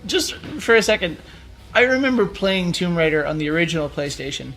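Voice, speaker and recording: A man's voice: high voice, lively intonation, brisk, fairly bright tone, soft background music. A man co-hosting a casual podcast, chiming in with short replies and laughing easily. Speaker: podcast co-host. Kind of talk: casual chat.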